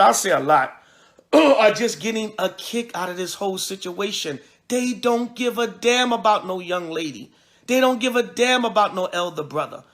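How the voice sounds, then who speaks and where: High-pitched, creaky man preaching informally. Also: a bit bright. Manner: emphatic, repetitive, halting with restarts. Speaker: priest and religious group leader. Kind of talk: livestreamed address mixing preaching and informal talk.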